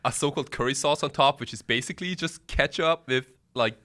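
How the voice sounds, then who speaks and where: Bright-voiced man chatting. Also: medium pitch, brisk. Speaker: podcast co-host. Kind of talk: casual chat.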